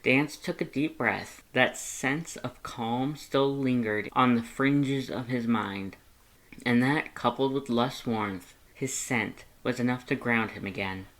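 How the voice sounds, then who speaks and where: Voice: medium pitch, slow, fairly bright tone. A narrator reading a fan fiction story aloud from a script.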